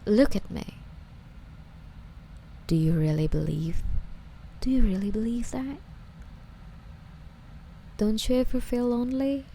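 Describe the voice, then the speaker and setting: A woman's voice: fairly high pitch, slow, long pauses, dark tone, slight background noise, rough recording. A woman playing a lovesick, obsessive young stalker under interrogation in an ASMR roleplay, with a coy, wheedling, hesitant delivery. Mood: unsettled, probing.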